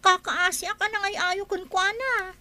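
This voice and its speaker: A woman voicing a sharp-tongued nanny and housekeeper who scolds and mocks the newcomers. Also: extremely high pitch, fairly even intonation, normal speed, exaggerated voice. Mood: snide. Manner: scolding, sarcastic.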